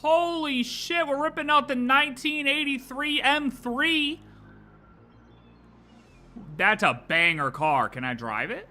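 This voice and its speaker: Bright, excitable young male streamer. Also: very high-pitched, slow pace. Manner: excitable, slangy, jokey. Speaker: game streamer. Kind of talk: casual live reaction commentary.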